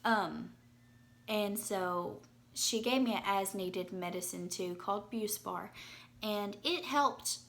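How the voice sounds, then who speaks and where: A young woman with a hoarse voice from being sick, talking casually into her phone in a rambling personal update with many ums and restarts. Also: mid-range voice, takes it slowly, fairly bright tone, a little hiss or hum. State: hoarse from illness. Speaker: vlogger. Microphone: phone propped up.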